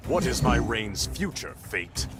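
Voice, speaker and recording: A man's voice: medium pitch, sing-song, unhurried, over loud music. An actor playing a proud, stern warrior king in a video game, speaking in a regal, formal, commanding manner. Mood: proud, defiant. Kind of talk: dramatic video game dialogue.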